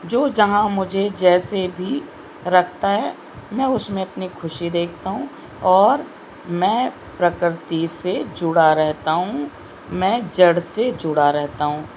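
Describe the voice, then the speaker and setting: Woman, sore throat, slow and preachy devotional talk, faint room hum behind. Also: lowish voice, darkish tone, low-quality recording. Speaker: spiritual video host.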